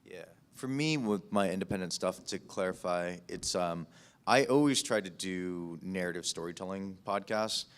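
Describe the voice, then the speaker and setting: A man's voice: low-pitched, normal speed, clear, resonant tone. A man speaking as a podcast producer on a panel, in casual, conversational language.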